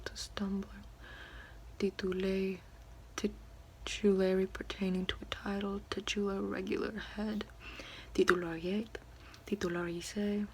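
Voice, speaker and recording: Softly whispering woman, slow and even. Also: medium pitch, a little hiss or hum, rough recording. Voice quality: soft, breathy whisper. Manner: slow, halting, sounding out words one by one. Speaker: ASMR creator reading a French dictionary. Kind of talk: ASMR whispered word-by-word reading.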